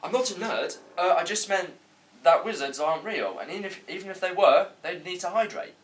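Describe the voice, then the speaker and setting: Man acting petulant young man, animated and high. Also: a little fast. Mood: defensive. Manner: indignant, whining. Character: petulant, self-important young man in a comedy. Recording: distant mic, faint room noise.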